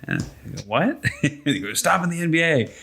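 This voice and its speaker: A man's voice: fairly high pitch, sing-song, normal speed, creaky, sharp tone, slight background noise. A man hosting a podcast in a casual, conversational style. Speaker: podcast host. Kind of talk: casual chat.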